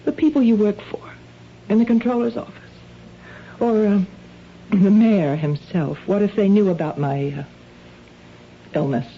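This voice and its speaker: A woman's voice: mid-range voice, slight background noise, close to an everyday voice. An actress playing an anxious, troubled married woman with a secret, speaking hesitantly in a radio drama. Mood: anxious, probing. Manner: hesitant, halting. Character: anxious, troubled married woman hiding her compulsive stealing. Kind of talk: 1970s radio drama acting. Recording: dull lo-fi old radio recording.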